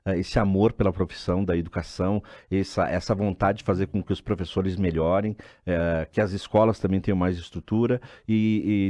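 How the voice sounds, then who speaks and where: A man's voice: low voice, warm tone, no room sound. A man, a journalist hosting a talk show, speaking informally to his guest. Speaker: journalist host. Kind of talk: interview talk.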